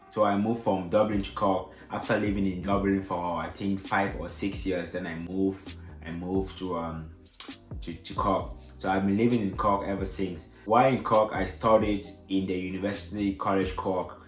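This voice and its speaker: A man's voice: sits low, flat delivery, normal speed. A young man whose first language is French, giving casual advice in a vlog, rambling with many fillers and restarts. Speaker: vlogger. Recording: echoey room with faint music.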